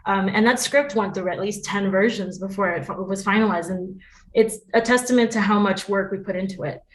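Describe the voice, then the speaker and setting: A woman talking casually as an interview guest about her work as a producer, chatty and quick to laugh. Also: mid-range voice, rough recording. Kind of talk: casual, animated interview chat.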